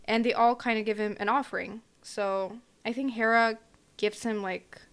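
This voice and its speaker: Woman podcast cohost, kinda high voice, rambly, hedges a lot, casual chat. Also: steady tone, normal speed, smooth. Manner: rambling, hedging retelling with fillers. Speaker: podcast co-host.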